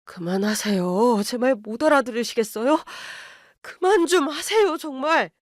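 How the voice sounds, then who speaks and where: A woman voicing a mild young husband who speaks low, measured and firm, holding back anger. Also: lively intonation, no echo, studio quality, lightly acted.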